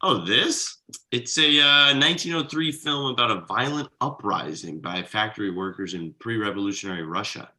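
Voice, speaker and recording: Man playing a bright-voiced, easygoing tired dad. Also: lowish voice, normal speed. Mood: gentle, explaining. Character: exhausted, easygoing 37-year-old slacker dad. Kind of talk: naturalistic comedy table read.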